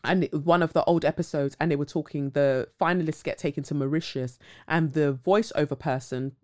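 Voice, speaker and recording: A woman's voice: low-pitched, brisk, darkish tone. A woman hosting a podcast, talking bluntly and casually, swearing freely, with dramatic asides. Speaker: podcast host. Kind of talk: casual commentary monologue.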